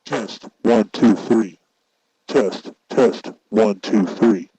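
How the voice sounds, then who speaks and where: Dark, low male voice through a voice changer. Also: extremely slow, slight background noise, low-quality recording. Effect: voice changer through a speaker. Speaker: tutorial host testing a voice changer. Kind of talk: casual demonstration and mic testing.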